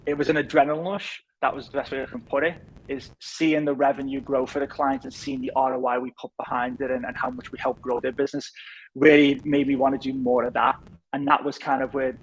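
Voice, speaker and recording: A man in his thirties with a softened Liverpool accent talks in an easygoing, rambling way, with plenty of "uh"s. His pitch stays fairly level, with little rise and fall. A faint hiss sits underneath. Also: level tone, a little fast, rough recording. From England. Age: 30s. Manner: easygoing, rambling with many fillers. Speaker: podcast guest, business founder. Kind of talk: casual interview chat.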